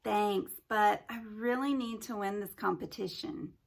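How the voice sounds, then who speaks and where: A woman's voice: mid-range voice, measured pace, vocal fry. A woman voicing a determined young girl who is eager and competitive.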